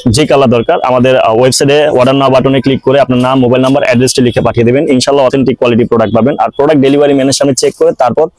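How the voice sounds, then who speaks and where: A man with a Bangladeshi accent giving an informal product demonstration and sales pitch for an online shop, explaining things step by step directly to viewers. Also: fairly even intonation, rapid-fire, hardly any pauses, a little mellow, dry recording, rough recording.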